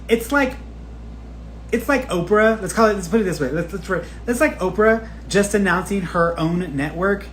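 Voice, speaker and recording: A man's voice: high voice, slight background noise, low-quality recording. A man talking casually and candidly as a spiritual teacher on a live stream, rambling informally, cursing freely and expressively acting out quoted remarks. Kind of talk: casual, rambling personal talk to online followers.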